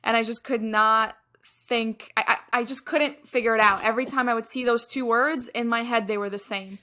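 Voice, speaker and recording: A young adult woman from Egypt co-hosting a podcast, telling stories in a lively way with frequent fillers and restarts. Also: a little high, normal speed. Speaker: podcast co-host. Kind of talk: casual podcast chat.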